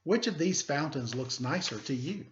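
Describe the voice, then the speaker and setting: A man speaking as an elementary teacher, reading aloud to pupils with deliberate emphasis and informal asides. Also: fairly high pitch, lively intonation, lo-fi sound, a little hiss or hum. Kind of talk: read-aloud lesson with casual asides.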